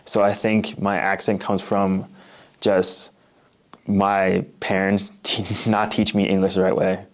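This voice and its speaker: A young man from the Midwest in his late teens, speaking in a fairly low voice. He chats loosely and drops in plenty of filler words. The accent is relaxed and Midwestern American. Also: slow. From Oak Park, Illinois, USA. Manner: casual, uses many "like" fillers. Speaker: interviewee. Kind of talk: read-aloud passage and casual interview.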